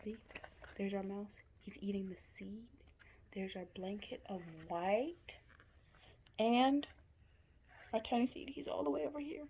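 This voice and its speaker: A woman's voice: medium pitch, steady tone, unhurried, vocal fry, pauses often, warm tone, a little hiss or hum. A woman commenting on picture book scenes for young children, pointing things out in simple short phrases. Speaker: story reader commenting on pictures.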